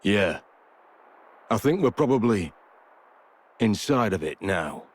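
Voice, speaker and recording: A British actor playing a gruff, seasoned, laconic older adventurer in a video game cutscene. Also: male voice, lowish voice, big pitch swings, full character voice. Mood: measured, dry. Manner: laconic, measured.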